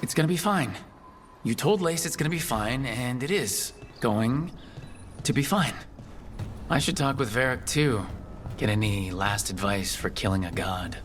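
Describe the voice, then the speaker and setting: An actor playing a determined, reassuring young hero in a video game, speaking in an earnest, measured way. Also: male voice, medium pitch, a bit bright, a little hiss or hum, lightly acted. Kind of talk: dramatic video game acting.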